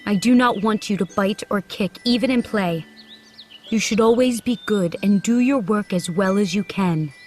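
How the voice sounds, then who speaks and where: A woman playing a gentle, wise mother horse in an animated storybook, tender and earnest. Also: medium pitch, moderate pace, faint music behind, close to an everyday voice. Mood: tender advice.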